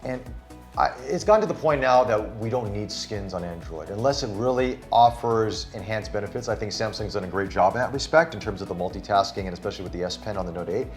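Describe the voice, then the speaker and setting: A man's voice: on the low side, animated, fast, faint music behind. Enthusiastic male tech reviewer. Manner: enthusiastic, hands-on demonstrating. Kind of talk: casual product review chat. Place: Singapore studio.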